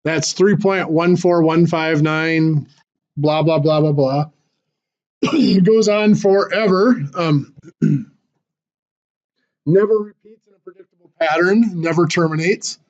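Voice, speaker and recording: Hoarse high-voiced man teaching math. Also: slow, long pauses, darkish tone, highs cut off. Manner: explains step by step with fillers and restarts. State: losing his voice, clearing throat. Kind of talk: classroom math lecture.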